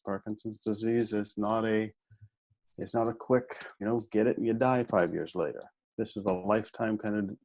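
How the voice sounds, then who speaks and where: Podcast guest, man, 50s, low voice, folksy and good-humored storytelling. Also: darkish tone, low-quality recording. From United States. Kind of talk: conversational interview.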